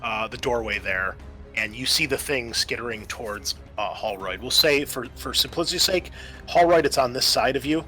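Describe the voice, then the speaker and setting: Bright-voiced man running a tabletop game. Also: medium pitch, moderate pace, soft background music. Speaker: game master. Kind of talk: narrating and explaining rules of a tabletop roleplaying game.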